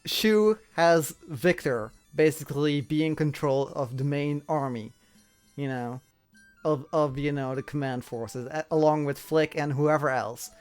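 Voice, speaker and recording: A man's voice: on the high side, a little slow, a little mellow, clear, resonant tone, soft background music. A man chatting casually as an interviewer, explaining things in a rambling way and often repeating himself. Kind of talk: casual chat.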